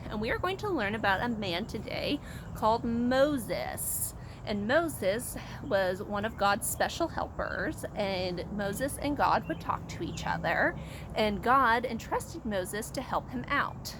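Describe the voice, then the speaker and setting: An American woman leading a children's Bible story time, speaking to young listeners in an animated way with simple explanations and questions. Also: medium pitch, slow pace, background noise.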